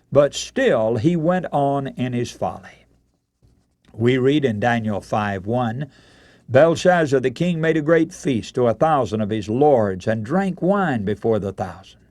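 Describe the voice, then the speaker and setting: A male radio preacher delivers a sermon as dramatic storytelling. His mid-pitched voice swings in animated, emphatic oratory, addressing listeners directly. The sound is close and dry, with very little room around it. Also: dry recording.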